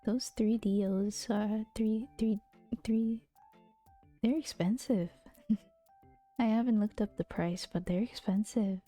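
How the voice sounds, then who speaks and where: A woman streaming and chatting close to the mic, her voice soft and gentle and often dropping into a half-whisper. Her tone is mellow, and she teases playfully with frequent giggles. Quiet music plays faintly behind her. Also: mid-range voice, steady tone, slow pace, long pauses. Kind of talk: casual chat stream. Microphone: close to a regular mic.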